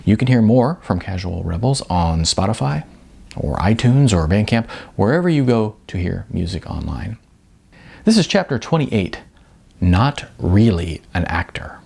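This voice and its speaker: A man's voice: lowish voice, very animated, a little slow, gravelly, a little mellow, slightly rough. A man reading his own novel aloud in audiobook style, with a wry manner. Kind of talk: audiobook-style narration of fiction.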